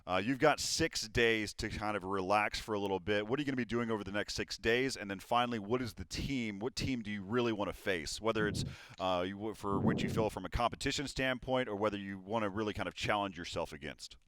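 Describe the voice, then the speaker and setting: A man's voice: on the low side, brisk, noisy background. Upbeat young male esports caster. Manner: upbeat, filler-heavy wrap-up talk. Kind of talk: live esports desk commentary.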